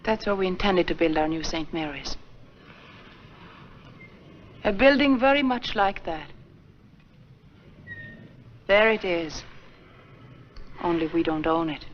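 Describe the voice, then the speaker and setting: An actress playing an earnest, gently formal nun who runs a school, in a 1940s film. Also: female voice, on the low side, animated, slow pace, long pauses, slight background noise. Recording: dull lo-fi 1940s film soundtrack.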